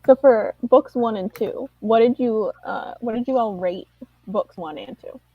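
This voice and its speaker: A woman's voice: a little high, a little slow, husky, narrow, tinny audio. A woman talking casually as a co-host in a book discussion. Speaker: read-along co-host. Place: online live video chat.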